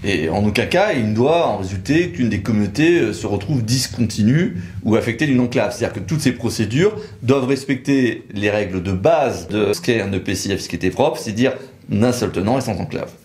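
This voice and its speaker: A man's voice: on the low side, lively intonation, brisk, a little mellow. A man giving a technical legal explanation in a methodical, precise way, as an expert in discussion. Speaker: lawyer explaining procedures. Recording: roomy, echoing sound.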